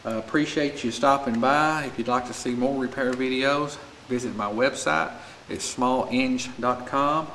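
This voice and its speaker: A man's voice: medium pitch, a little slow, fairly bright tone. American guy walking thru a repair step by step, plain talk, roomy sound w noise behind him. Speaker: small engine repair instructor. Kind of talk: step-by-step hands-on repair tutorial. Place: indoor repair shop at a workbench. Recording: echoey room with clear background noise.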